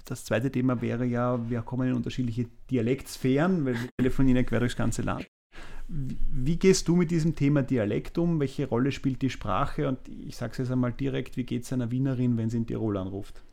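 Gravelly-voiced male podcast host. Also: mid-range voice, a little fast, warm tone. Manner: asks long rambling questions, restarts and summarizes. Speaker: podcast host, managing director. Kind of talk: podcast interview.

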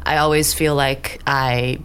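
A woman. She is a stage actor speaking as an interview guest, thoughtful and measured in how she talks. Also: rumbling low, flat delivery, fairly bright tone, smooth. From California Bay Area, born in Hong Kong. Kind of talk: casual interview chat. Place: radio studio.

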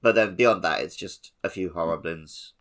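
A man's voice: sits low, moderate pace, a bit bright. A man co-hosting a casual gaming podcast, explaining things in a conversational way. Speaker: podcast co-host.

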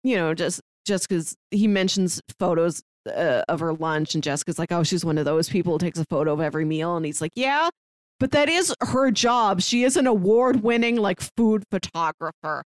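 A woman's voice: fairly low pitch, expressive, normal speed, no echo. A woman co-hosting a podcast in a casual film discussion, recounting scenes conversationally. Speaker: podcast co-host.

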